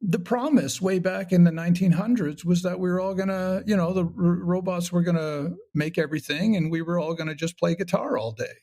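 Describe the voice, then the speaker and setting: A man's voice: high voice, a little fast, few pauses, warm tone. A man talking casually as an interview guest, wry and rambling, often acting out little quotes. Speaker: podcast guest, comedy show creator.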